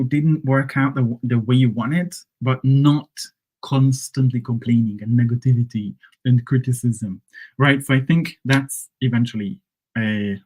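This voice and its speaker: A man whose first language is Hungarian, teaching English phrases in a relaxed live lesson and checking in with "right?" and "okay?". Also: medium pitch, poor audio. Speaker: English coach.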